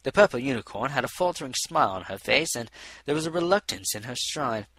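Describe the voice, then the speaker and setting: Expressive man reading a story aloud. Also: mid-range voice, quick pace, no room sound. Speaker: audiobook reader. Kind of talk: scripted story narration.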